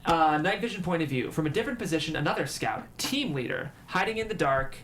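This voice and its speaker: A man's voice: on the high side, expressive, quick pace, a bit bright, slight background noise. A man reading stage directions as narrator at a table read, with dramatic storytelling delivery.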